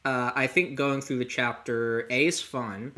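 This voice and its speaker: A man with a bright, forward voice at an average pitch hosts a casual livestream. He rambles through his commentary in a halting way, thinking aloud as he goes. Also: steady tone. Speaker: livestream host. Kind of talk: casual discussion of a comic chapter.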